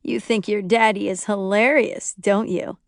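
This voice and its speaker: A woman's voice: medium pitch, steady tone, unhurried, gravelly, dry recording. A woman narrator plays a young father who jokes and teases. The delivery is easygoing and playful, as character dialogue in an audiobook, with a dry, close sound. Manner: playful, teasing.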